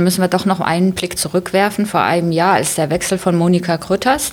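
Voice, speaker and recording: A woman with a slightly low voice leads a political interview. She puts her questions conversationally, staying polite while being direct and probing.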